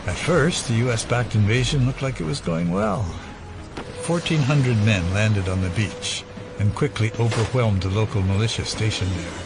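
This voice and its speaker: A man's voice: fairly low pitch, normal speed, lightly acted. An actor playing an older, brooding conspirator, narrating his secret past in a musing, sardonic way. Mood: reminiscing. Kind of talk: dramatic first-person narration. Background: music.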